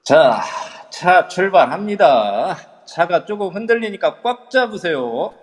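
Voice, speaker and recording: A man playing a young male group leader who gives brisk orders in an amateur audio drama. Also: sits high, heavily acted. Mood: lively. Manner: animated, commanding. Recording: reverb-heavy online audio.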